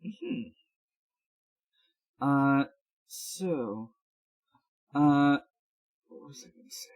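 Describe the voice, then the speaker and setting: Slow, halting podcast co-host. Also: medium pitch, expressive, darkish tone, poor audio. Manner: halting, hesitant delivery. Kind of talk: casual recap commentary.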